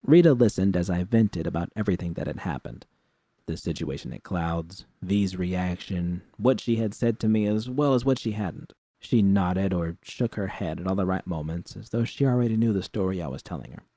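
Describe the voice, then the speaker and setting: A man's voice: low voice, normal speed, dark tone, no echo, clean studio sound. A man reading a scripted first-person story as its narrator. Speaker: narrator of fan fiction.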